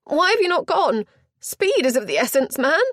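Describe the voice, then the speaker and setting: A woman voicing a frantic middle-aged female chaperone who talks in high, quivering alarm. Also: sing-song, moderate pace, a bit bright, dry recording, studio quality.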